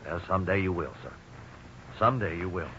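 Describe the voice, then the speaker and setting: An actor playing a troubled, conscientious middle-aged father, gentle and earnest, in a 1950s radio drama. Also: low-pitched, a little fast. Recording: dull, hissy 1950s radio recording.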